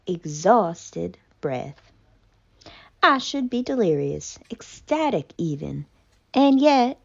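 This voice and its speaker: A woman voicing a haughty, melodramatic, traumatized female cat in a pompous, mock-tragic theatrical monologue with deliberate pauses. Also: mid-range voice, highs cut off.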